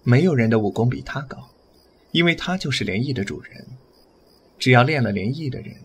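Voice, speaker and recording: A man's voice: medium pitch, lively intonation, fairly quick, slightly rough. A man playing a courteous, protective young swordsman in a Chinese wuxia audio drama, speaking politely and earnestly. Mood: calm, gentle.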